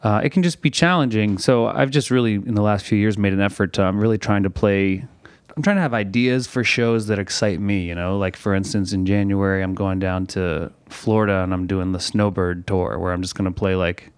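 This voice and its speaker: A man, a touring songwriter, talking casually on a podcast in a relaxed, rambling way. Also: fairly low pitch, a little fast, clear, resonant tone, no echo. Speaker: touring musician and songwriter guest.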